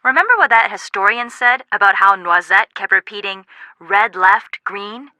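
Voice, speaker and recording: A woman voicing a spirited, practical young sidekick in a video game, frank and brisk in her advice. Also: mid-range voice, lively intonation, bright, forceful tone, dry recording.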